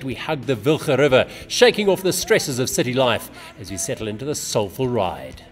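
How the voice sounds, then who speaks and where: A man giving scripted, upbeat narration of a bike race. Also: mid-range voice.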